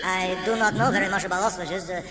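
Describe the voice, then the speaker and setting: A woman playing a gleefully oblivious French deep-sea explorer with an odd voice and a French accent in a radio satire. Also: steady tone, fast talker, lightly acted. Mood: baffled, oblivious. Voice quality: odd, funny-sounding voice. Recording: music behind the voice.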